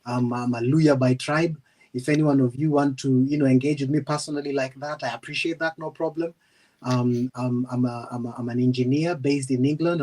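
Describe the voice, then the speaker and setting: A man speaks as a guest in a conversational interview. His voice is mid-pitched. He is polite and measured, pausing and hesitating between phrases as he explains. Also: a little mellow, poor audio. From Western Province, Kenya. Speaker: guest, engineer and ex-serviceman.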